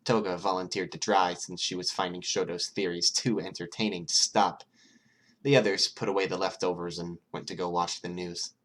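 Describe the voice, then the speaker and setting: Low-voiced man narrating a story. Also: steady tone, normal speed, fairly bright tone. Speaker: podfic narrator.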